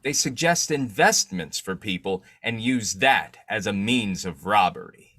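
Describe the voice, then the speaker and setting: A man playing an urbane newspaper publisher in an old-time radio drama, measured, polite and formal with a sly streak. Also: lowish voice, expressive, bright, forceful tone, full character voice. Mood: skeptical.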